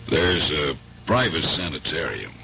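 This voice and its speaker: A man's voice: a bass voice, very animated, a little slow, vocal fry, sharp tone, heavily acted. An actor in a 1970s radio drama playing a tough but fatherly police superior, plain-spoken and firm. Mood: firm, concerned. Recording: dull vintage radio recording, faint hiss.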